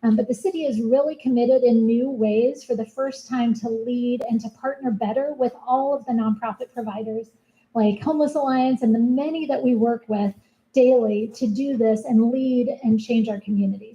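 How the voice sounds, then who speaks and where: A woman giving a soft-spoken, earnest presentation as a city program manager at a town hall meeting. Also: a little high, steady tone, normal speed, highs cut off. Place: community meeting room.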